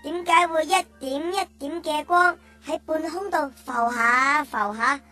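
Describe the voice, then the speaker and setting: Children's radio drama: playful small child character, bright piping voice, exclamatory and curious. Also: female voice, sits high, unhurried, soft background music. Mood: curious, admiring.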